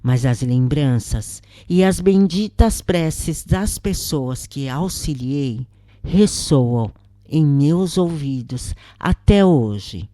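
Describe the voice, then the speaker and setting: Brazilian lady narrating a spiritual story, deep for a woman, really dry close sound. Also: dark tone, no echo. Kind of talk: scripted first-person spiritual story narration.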